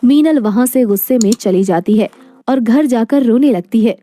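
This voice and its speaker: A woman's voice: a little high, normal speed, dark tone. A woman narrating a scripted moral story.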